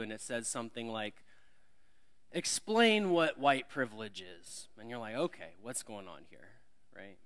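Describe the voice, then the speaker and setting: A man's voice: mid-range voice, a bit bright. A man from Alabama, preaching a sermon as a visiting preacher in a church. He speaks in a friendly, self-deprecating way and lifts his voice when he reads scripture. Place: church sanctuary.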